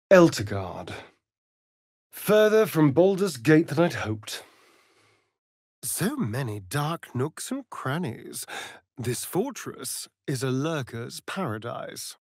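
Sly, ancient male vampire for a game: theatrical, flirtatious, slow drawl, very animated pitch, dry close recording. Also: dry recording, exaggerated voice. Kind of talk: video game character acting.

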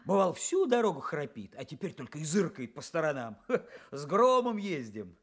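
A cocky young tractor driver in a Soviet radio play. The voice is high and very animated, swaggering through boasts and teasing. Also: male voice, moderate pace. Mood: boastful, jovial. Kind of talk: Soviet radio drama acting.

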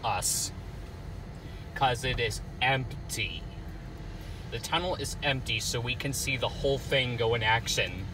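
A man calmly narrating from a car, filming on a phone as the car goes through a car wash tunnel. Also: mid-range voice, measured pace, pauses often. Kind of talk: casual narration to camera. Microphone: phone camera in the car. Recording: car and machine noise behind.

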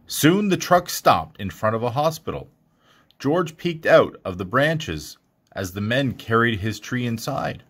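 A man reading a children's picture book aloud in an expressive storytelling manner. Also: medium pitch, unhurried, husky. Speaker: storybook reader.

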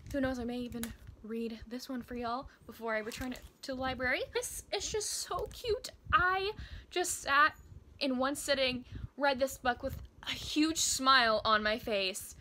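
Bright, high-voiced young American woman. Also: normal speed, slight background noise. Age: 20s. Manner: gushing, emphatic, expressive. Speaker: book video blogger. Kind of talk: casual chat to camera. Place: small indoor room by bookshelves.

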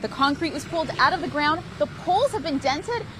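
High-voiced female TV news reporter. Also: animated, brisk, noisy background. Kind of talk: scripted news reporting, live and recorded.

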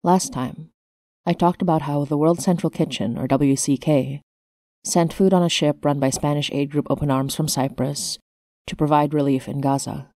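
Low, mellow woman podcast host. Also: steady tone, normal speed, no room sound, clean studio sound. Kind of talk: scripted podcast announcements and credits.

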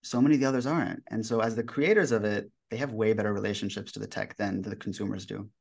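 A young man in his twenties answers interview questions over a video call from a bright room at home. He talks in a relaxed, rambling way with many fillers and restarts, in a somewhat low voice. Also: fast, gravelly. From United States. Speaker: guest author. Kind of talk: casual interview talk.

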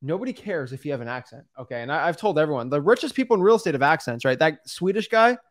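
A man's voice: a little high, animated, fast talker. A young man co-hosting a casual studio podcast, talking loosely with lots of fillers and addressing listeners as guys. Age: young adult. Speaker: co-host. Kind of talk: casual podcast coaching talk. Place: studio with backdrop. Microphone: studio mic on boom arm.

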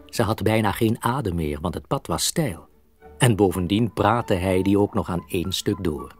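A man's voice: low voice, moderate pace, darkish tone, faint music behind, lightly acted. A man narrating a children's audio drama in scripted storybook style.

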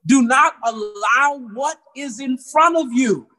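A man's voice: extremely high pitch, slow, pushed and bright. American man preaching, emphatic and exhorting. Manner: emphatic, exhorting, repeats phrases for effect. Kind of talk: sermon. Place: church room with high ceiling, indoors. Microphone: phone propped a short distance away.

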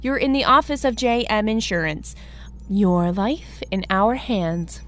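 An actress playing an unruffled, businesslike insurance receptionist, patiently explaining in a comedy audio drama. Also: female voice, medium pitch, lively intonation, measured pace, a bit bright. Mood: brisk, composed. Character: brisk, unruffled female insurance office receptionist.

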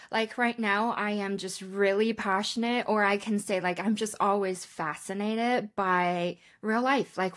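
A woman in her thirties from China, chatting candidly and casually as an interview guest on a video call. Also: mid-range voice. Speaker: guest, photographer. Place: indoor room, remote video call.

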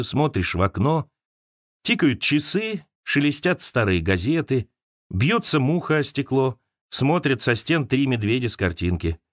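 A man narrating literary essays as an audiobook, expressively, with dramatic pauses and turns between wry and wistful. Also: mid-range voice, fairly bright tone, no room sound, clean studio sound. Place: close, dry studio recording.

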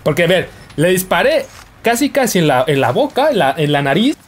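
A man's voice: sits high, very animated, a little fast. A young man giving casual, joking commentary over his gameplay. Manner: playful, jokey, yelps and laughs while playing. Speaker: gaming YouTuber.

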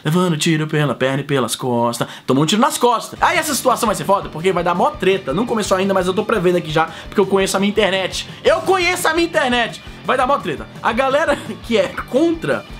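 A man's voice: high voice, fast, hardly any pauses, soft background music. A man in his thirties from Brazil with a cold, ranting animatedly to the camera in a casual, profane vlog from a room at home. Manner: animated, profane, slangy, big hand gestures. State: sick with a cold, coughing. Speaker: vlogger host. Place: home room with shelves of collectibles. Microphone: fixed camera, close framing.

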